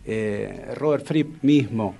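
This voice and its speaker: A man's voice: on the low side, expressive, slow pace, creaky, mellow. A man with a Rioplatense Argentine accent interviewing casually, in an affirming, conversational way. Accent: Rioplatense Argentine Spanish. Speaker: radio interviewer.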